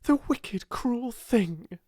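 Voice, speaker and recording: Woman playing a high-voiced, passionate young schoolgirl. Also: normal speed, mellow, dry recording, studio quality, lightly acted. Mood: furious. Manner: fervent, emphatic.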